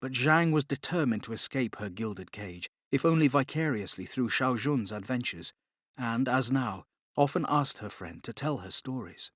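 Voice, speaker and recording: A man's voice: medium pitch, lively intonation, normal speed, fairly bright tone, no room sound, clean studio sound. A man reading scripted dramatic narration as an audiobook narrator.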